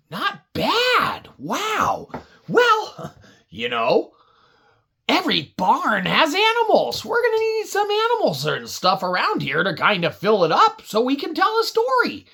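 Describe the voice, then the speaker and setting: A woman hosting an interactive children's story show, animated and exclamatory, reacting big and asking for ideas. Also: mid-range voice, breathy edge. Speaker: puppet show host and storyteller.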